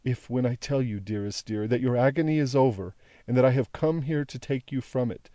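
Man voicing a tender, imploring young woman, soft-toned. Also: brisk, dry recording, clean studio sound, natural voice. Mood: fervent consoling. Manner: low, tender, imploring, rhythmic appeals. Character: gentle young woman, devoted, pleading daughter.

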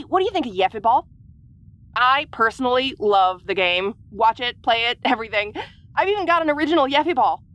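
A woman playing a bubbly, sports-mad alien girl who chatters rapidly and excitably, in an audio roleplay. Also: a little high.

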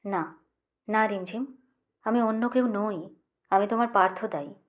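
A woman's voice: medium pitch, a little slow, close to an everyday voice. A woman voicing a dreamy, moody young man in an audiobook story. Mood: tender, earnest. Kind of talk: audiobook character dialogue.

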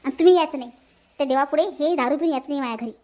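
Comedy cartoon housewife, acted: middle-aged, bossy, very shrill-high pitch, Varhadi Marathi, emphatic scolding. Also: very fast, a little mellow, natural voice. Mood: indignant, bossy. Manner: scolding, emphatic, repeats phrases. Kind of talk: comic family cartoon dialogue.

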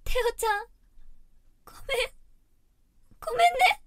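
A woman's voice: extremely high pitch, animated, measured pace, no echo. A woman voicing an anguished young werewolf girl in a voice drama, resolute but emotional. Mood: desperate, tearful. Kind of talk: Japanese voice drama acting.

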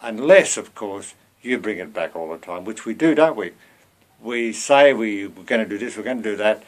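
Low-voiced elderly man preaching informally. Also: expressive, moderate pace. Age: 70s. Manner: earnest, conversational, with rhetorical tag questions. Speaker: lay preacher. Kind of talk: informal sermon to camera. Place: small cluttered room at home.

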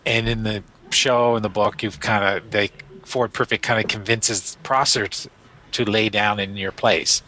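A man's voice: lowish voice, steady tone, a little slow, pushed and bright, a little hiss or hum, rough recording. A man casually explaining a game and coaching another player in an offhand way. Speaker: podcast co-host guiding a player. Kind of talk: casual chat, game walkthrough.

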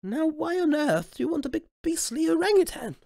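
A man voicing a precocious royal baby with a high, childlike, plummy voice for a storybook. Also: sing-song, fairly quick, vocal fry, a little mellow, no room sound, clean studio sound, exaggerated voice. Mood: exasperated. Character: royal baby, piping posh little child.

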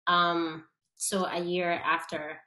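Puerto Rican woman, animated and roomy. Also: lowish voice, takes it slowly. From Puerto Rico. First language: Spanish. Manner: animated, gestures a lot, restarts often. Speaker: actress and singer guest. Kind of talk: casual interview chat. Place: small room with plain grey wall, indoors. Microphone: video call webcam. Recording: roomy echo.

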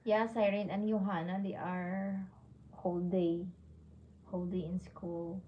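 Filipino woman, 40s, livestream host, slow casual delivery, slips into Tagalog, slightly lo-fi sound. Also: lowish voice, flat delivery. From Philippines. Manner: mixes Tagalog words into English. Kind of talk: casual livestream chat, reading viewer comments. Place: room at home. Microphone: phone at arm's length.

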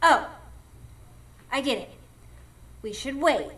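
A woman's voice: sits high, lively intonation, takes it slowly, sharp tone, heavily acted. An actress playing an impish, impulsive young fairy girl, eager and blurting, in a live radio drama.

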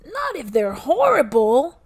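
Anxious small boy for a storybook, played by a woman, high and swooping, slow delivery. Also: wildly swooping pitch, darkish tone, full character voice, poor audio. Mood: worried. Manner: worried, questioning. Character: anxious, reluctant little boy. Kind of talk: children's storybook read-aloud.

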